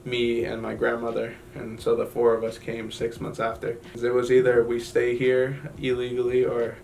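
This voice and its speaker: Young man from Guatemala, interview subject; hesitant, filler-heavy, gravelly low-key delivery. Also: monotone, darkish tone, distant mic, slight background noise. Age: young adult. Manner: halting, many fillers and restarts. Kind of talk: personal story in an interview.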